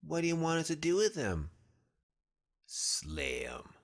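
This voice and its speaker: A man's voice: fairly high pitch, huge pitch swings, a little slow, vocal fry, exaggerated voice. A man voicing cocky, menacing young male vampires in a comic-book cartoon. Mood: cold, dismissive. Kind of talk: motion comic voice acting. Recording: music behind the voice.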